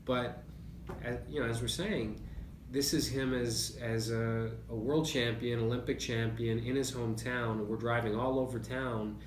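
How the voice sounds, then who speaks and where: A man's voice: mid-range voice, steady tone, a little slow. Man in a roomy, noisy interview recording. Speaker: interview guest, boxing writer. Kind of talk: conversational sports interview, reflective storytelling. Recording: roomy, distant mic, noticeable background noise.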